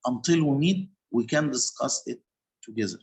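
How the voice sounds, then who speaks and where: Measured man explaining teaching steps. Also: medium pitch, creaky. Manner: measured, explanatory, with pauses and restarts. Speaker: English teacher. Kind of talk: online panel discussion.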